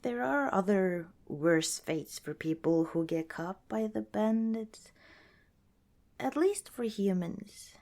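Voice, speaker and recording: A woman acts out a friendly young female wasteland wanderer in an ASMR roleplay. She rambles gently and hesitantly at a slow, unhurried pace, chatty and protective, in a medium-pitched voice. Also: expressive. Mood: gently wary. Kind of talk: ASMR roleplay, casual one-sided dialogue.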